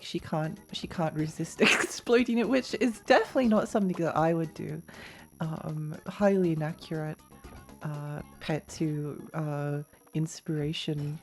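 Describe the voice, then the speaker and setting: A woman streaming a video game, chatting casually with many fillers and little laughs, her voice a bit croaky. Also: on the low side, a little mellow. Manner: rambling, giggly, many fillers and restarts. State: slightly croaky voice. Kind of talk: casual live-stream chatter while gaming.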